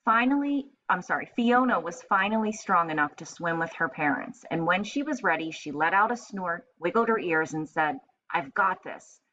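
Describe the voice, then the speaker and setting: Low-pitched woman teacher reading aloud. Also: lively intonation, normal speed, few pauses, pushed and bright. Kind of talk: read-aloud for children with explanations. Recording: slightly muffled, faint background noise.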